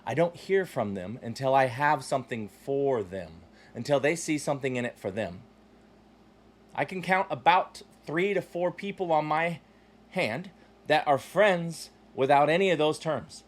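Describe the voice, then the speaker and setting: A man in his forties delivers a motivational podcast monologue with a slightly higher voice than most men. He talks bluntly and swears freely, punching some phrases hard and dropping others to a low aside. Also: expressive, a little slow. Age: 40s. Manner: blunt and profane, swinging from loud emphatic bursts to low asides. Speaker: podcast host.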